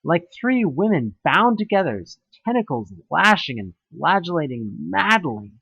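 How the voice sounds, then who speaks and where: A man's voice: fairly high pitch, big pitch swings, a little slow, creaky, husky, no room sound, highs cut off. A man reading a scripted second-person story as a narrator, with a theatrical, emphatic delivery that draws out words. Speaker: audio play narrator.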